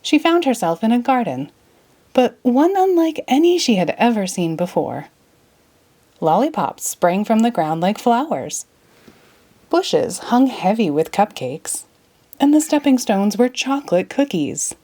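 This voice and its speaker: Female storyteller for a children's picture book: scripted, expressive, with lively intonation. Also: fairly high pitch, measured pace. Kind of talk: children's storybook read-aloud, scripted narration.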